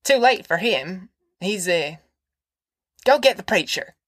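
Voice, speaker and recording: A woman voicing a shrill, pious and spiteful old grandmother. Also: animated, slow pace, a bit bright, no room sound, clean studio sound.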